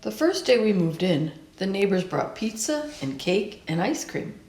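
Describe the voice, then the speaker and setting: A woman reading a children's picture book aloud in a deliberate, expressive storytelling style. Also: medium pitch, echoey room. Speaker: storybook reader.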